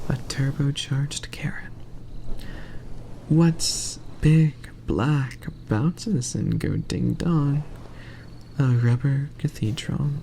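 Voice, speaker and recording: A man reading aloud in a soft ASMR bedtime style, hushed and often slipping into a whisper. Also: fairly high pitch, gravelly, slight background noise.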